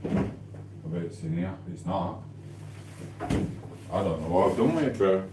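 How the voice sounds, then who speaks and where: A middle-aged man from Sheffield, speaking with a broad Yorkshire accent in a somewhat low voice. He rambles and trades mock insults with his live chat in the small hours while cooking. The sound is echoey, as if from a bare kitchen, with faint noise underneath. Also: animated, measured pace. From Sheffield, England. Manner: banter-heavy, mock trash-talking, rambling. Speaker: livestreamer. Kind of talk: late-night live stream chat. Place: home kitchen at night.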